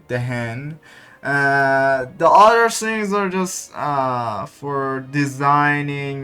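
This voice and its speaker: A man's voice: mid-range voice, fairly bright tone, smooth. A man from Iran with an Iranian accent, a native Persian speaker, giving casual gameplay commentary and hesitantly searching for English words. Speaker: gaming content creator.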